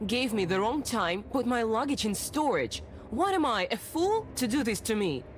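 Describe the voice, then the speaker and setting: A woman's voice: on the high side, sing-song, moderate pace, a little hiss or hum. A woman playing a bold, imperious young journalist in a period visual novel, haughty and assertive. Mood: indignant. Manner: haughty, assertive, crisp commands.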